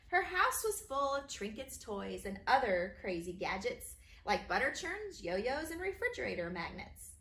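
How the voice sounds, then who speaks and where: A woman teacher reading children's stories aloud in an expressive, sing-song way for young listeners. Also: fairly high pitch, measured pace, hardly any pauses. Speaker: kindergarten teacher reading her own stories. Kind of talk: animated children's storybook reading. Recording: roomy echo, faint background noise.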